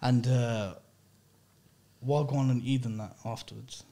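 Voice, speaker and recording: A man's voice: medium pitch, steady tone, unhurried, warm tone. A man with a London accent hosting a casual podcast, talking in slang and repeating phrases to drive his point home. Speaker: podcast host.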